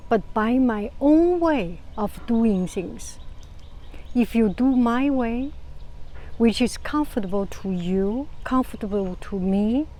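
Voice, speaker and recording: A woman with a Chinese accent and a low, soft voice giving calm, casual advice to camera, often restarting phrases and sometimes dropping to a whisper. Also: a little hiss or hum. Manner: calm, unhurried, many restarts. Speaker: vlogger.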